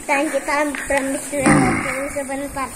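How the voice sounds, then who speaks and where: A woman's voice: sits high, monotone, moderate pace, heavy background noise. A woman giving short comments while walking through a cave. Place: inside a cave.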